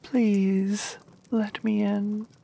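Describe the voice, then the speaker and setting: A woman voicing a wicked queen disguised as an old woman, speaking in a squeaky, whispered voice. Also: little pitch movement, extremely slow, gravelly, warm tone, exaggerated voice. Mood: pleading.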